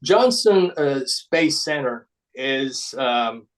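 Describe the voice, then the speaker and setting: A man with an Ecuadorian Spanish accent giving an informal slide presentation, speaking haltingly and often restarting his words. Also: medium pitch, lively intonation. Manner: halting, with repeated word restarts. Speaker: guest presenter, paper model designer.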